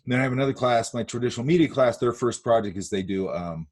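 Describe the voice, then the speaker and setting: Male art instructor, husky, sniffly with a cold, talks in loose restarts over a video call. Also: medium pitch, fast talker. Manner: rambling, many fillers and restarts. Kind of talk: online class lecture.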